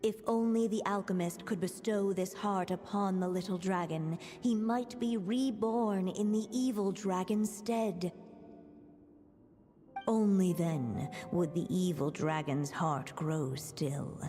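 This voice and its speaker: A woman playing a gentle storybook narrator in a video game, telling a fairy tale in measured, solemn phrases. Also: mid-range voice, lightly acted. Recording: long reverb, music behind. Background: music.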